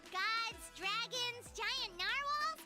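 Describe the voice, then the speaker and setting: A woman voicing a tiny, childlike, cheeky and eager fairy companion in a video game, with a squeaky, chirpy delivery. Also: animated, extremely slow, over music, exaggerated voice. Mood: boastful, cheery. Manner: squeaky, speaks of herself in third person.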